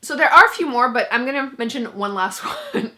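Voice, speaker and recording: A woman's voice: high voice, big pitch swings, fairly quick, pushed and bright. A young woman whose first language is French, chatting casually to camera as a book vlogger, laughing often and poking fun at herself.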